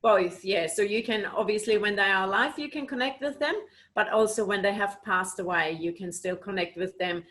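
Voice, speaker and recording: Guest woman from Switzerland, earnest explainer, mid pitch, video call audio. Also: moderate pace, a bit bright. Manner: earnest, explanatory, many "you know"s. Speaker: animal communicator, guest. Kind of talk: casual interview answers.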